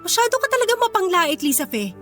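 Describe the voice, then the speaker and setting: A woman's voice: extremely high pitch, sing-song, fast talker, fairly bright tone, soft background music, heavily acted. A woman acting a poor but proud young working woman in a radio drama, speaking with earnest, wounded dignity. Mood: hurt, pleading.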